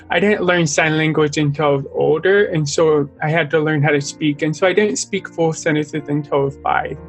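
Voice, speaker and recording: Young male guest with a deaf speaker's accent; earnest, slightly high voice, faint music under it. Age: young adult. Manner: earnest, open, at times halting speech. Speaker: interview guest, artist. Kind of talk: casual interview conversation.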